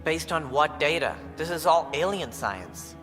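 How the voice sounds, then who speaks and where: An actor playing an officious, brisk director with a precise, authoritative manner in a sci-fi video game. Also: male voice, fairly high pitch, expressive. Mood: skeptical. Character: officious, brisk male director of a space initiative. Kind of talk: dramatic video game cutscene acting. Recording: long hall reverb, faint music behind.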